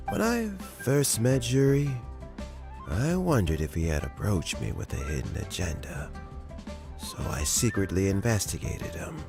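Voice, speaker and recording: An actor playing an elderly, gentle statesman in a video game, reflective and courteous, with a weary, measured delivery. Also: fairly low pitch. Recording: music behind the voice.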